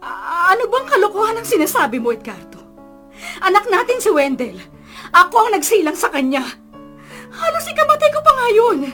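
A woman's voice: very high-pitched, big pitch swings, a little fast, pushed and bright, faint music behind, exaggerated voice. A woman playing a devoted, distraught mother in a radio drama, emotional and imploring. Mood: shocked. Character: devoted, distraught middle-aged mother defending her son.

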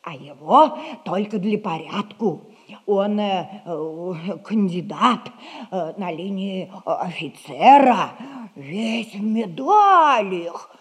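An actress playing a chatty, fussy old servant woman with a husky voice, sighing folksily in a theatrical audio comedy. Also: mid-range voice, expressive, slow pace. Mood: fussy, explaining. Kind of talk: classic Russian stage comedy acting, audio play.

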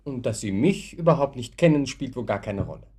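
An actor playing a self-assured, challenging man in a radio play, speaking in a cool, pointed and deliberate way. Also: mid-range voice, expressive, mellow, breathy edge. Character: self-assured male visitor, challenging and unapologetic. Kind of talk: German radio play acting.

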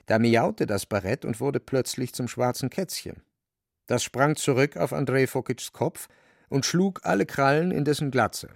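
Male narrator for literary radio drama: gravelly, mid-pitched, close dry studio sound. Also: a little slow, no echo.

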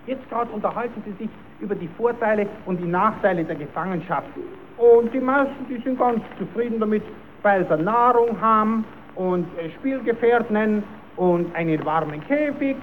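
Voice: mid-range voice, moderate pace, close to an everyday voice. A performer playing a cheeky puppet clown who stammers as he spins tall tales for children in a German radio play. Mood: explanatory. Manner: stammering, repeating himself, improvising tall tales. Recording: tinny, narrowband, hissy old radio recording.

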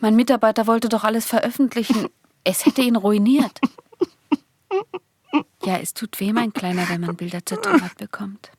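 An actress playing a hardened communist agent in a German radio play, confiding in a condescending, insistent way. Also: female voice, on the high side, normal speed, pauses often, darkish tone, breathy edge, no room sound. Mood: urgent, explaining. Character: hardened female communist agent confiding in a naive young lover.

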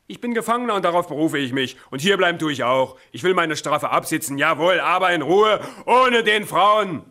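A querulous, defiant prisoner grumbles and protests in satirical radio drama. The voice is high for a man and sharply bright, with an exasperated, insolent manner. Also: expressive, brisk. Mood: mocking, defiant. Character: querulous, defiant male prisoner craving peace and quiet.

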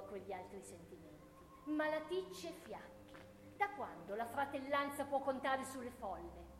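An actress playing a sardonic orator who delivers a poetic theatrical monologue in a declamatory, ironic way, with deliberate pauses. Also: female voice, fairly high pitch. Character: sardonic woman orator grandly praising hatred. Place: live radio theatre performance in a hall. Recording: echoey theatre hall, noisy distant recording.

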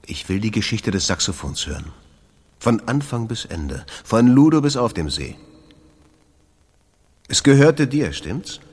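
A bitter, confrontational club owner in a radio drama. The voice is rough and husky, swings widely in pitch and echoes in a reverberant space. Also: male voice, big pitch swings, measured pace, long pauses, full character voice. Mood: accusing, insistent. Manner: confrontational, blunt questioning. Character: bitter, accusing male jazz club owner digging for truth. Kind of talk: German radio drama acting. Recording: roomy space with long reverb.

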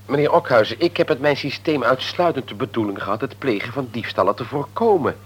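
A man's voice: medium pitch, lively intonation, brisk, slightly rough. An actor playing a formal, indignant male company secretary in a radio play, stiffly polite in manner. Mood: affronted, polite. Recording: old radio play recording.

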